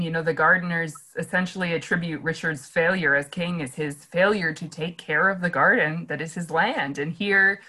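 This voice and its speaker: Enthusiastic woman directing a play read, bright voice, lowish. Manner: animated, gushing, laughs often. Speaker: director leading a play reading. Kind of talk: enthusiastic discussion.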